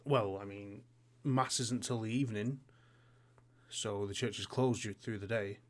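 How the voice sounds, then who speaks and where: A man's voice: fairly low pitch, steady tone, a little slow, close to an everyday voice. A man playing a plainly dressed, defensive villager who stammers, repeats himself and avoids answering. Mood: flustered, guarded. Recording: noisy hobby recording with clicks.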